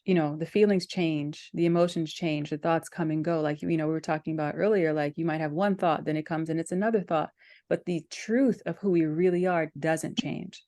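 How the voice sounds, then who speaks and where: Low, mellow-voiced woman hosting. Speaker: podcast host, meditation practitioner. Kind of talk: conversational interviewing.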